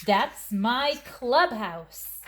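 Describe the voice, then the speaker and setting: A woman's voice: on the high side, lively intonation, extremely slow, fairly bright tone, pitched above the performer's own voice, full character voice. This is a storybook little girl, voiced by a woman reading aloud. She is stubborn and imaginative, and she declares her ideas with proud insistence. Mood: proudly insistent.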